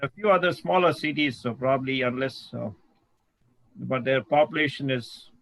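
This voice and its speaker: A man introducing himself on a video call, speaking haltingly with many restarts. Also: mid-range voice, creaky, fairly bright tone, rough recording. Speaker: real estate investor.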